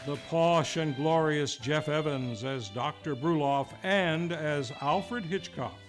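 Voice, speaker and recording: A man's voice: a little high, slow pace. A man hosting in a grand, florid, old-time radio announcer style. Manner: grand, florid showman delivery. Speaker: series host, announcer. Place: radio show performed live in a theater. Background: music.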